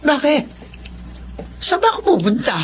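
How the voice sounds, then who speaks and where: A woman's voice: high-pitched, very animated, moderate pace. A woman acting a nagging, long-suffering older mother of grown children in a Filipino audio drama. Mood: puzzled. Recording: dull lo-fi audio, faint noise.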